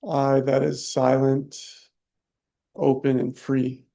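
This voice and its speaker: Man, meditation teacher, flat even pitch, gravelly creak, soft-spoken, short phrases with long pauses. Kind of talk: guided meditation.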